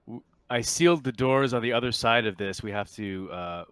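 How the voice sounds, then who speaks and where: A man narrating a tabletop roleplaying game as game master in a halting, casual way. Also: medium pitch, a bit bright, smooth, dry recording. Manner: halting, casual, pieces descriptions together aloud.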